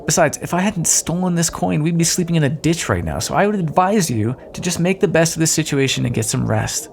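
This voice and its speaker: Man acting a wry, gravelly roguish thief. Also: a little high, sing-song, fairly quick, a little hiss or hum. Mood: dry, nonchalant. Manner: sardonic, teasing, conversational. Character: roguish male thief escorting a deposed queen, wry but decent. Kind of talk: audio roleplay acting, addressed to the listener.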